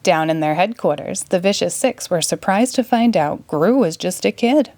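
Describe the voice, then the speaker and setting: A woman narrating a children's story in a clear, expressive read-aloud manner. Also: fairly low pitch, moderate pace, dry recording. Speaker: storybook reader.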